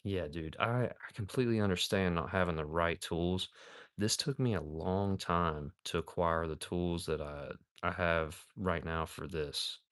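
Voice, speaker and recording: A man's voice: sits low, measured pace, a bit bright, clean tone, dry recording, studio quality. A man in his thirties chatting casually on a live stream while he builds a model kit, laid-back and rambling, calling viewers dude and man. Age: 30s.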